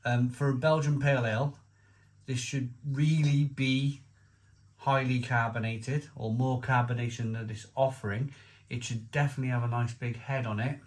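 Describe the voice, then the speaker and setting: A man's voice: mid-range voice, steady tone, normal speed. A man from Wales, giving a chatty, rambling beer review to camera with frequent restarts and asides.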